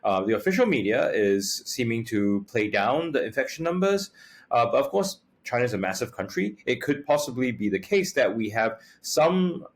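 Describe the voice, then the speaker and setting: A man giving an interview answer as an analyst, hesitant and halting with many fillers. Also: medium pitch, big pitch swings.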